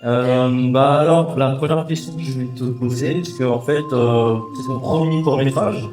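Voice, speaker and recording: Interviewer, man, halting filler-heavy questions, echoey room, faint music behind. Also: mid-range voice, darkish tone. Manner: hesitant, many filler pauses. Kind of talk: festival interview.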